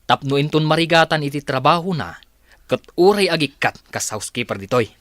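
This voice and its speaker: An actor playing an arrogant rich company boss with a scolding, imperious manner in a Philippine radio drama. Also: male voice, on the high side, lively intonation, a little fast, fairly bright tone, dry recording, clean studio sound. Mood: irritated. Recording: music bed under radio drama.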